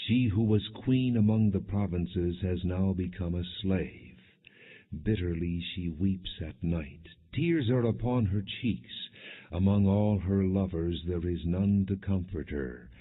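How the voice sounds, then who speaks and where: Through narrow, phone-like audio comes a man with a low, husky voice. He reads scripture as a solemn audiobook narrator. His phrasing is measured and deliberate, each verse set apart. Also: animated. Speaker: audiobook Bible reader.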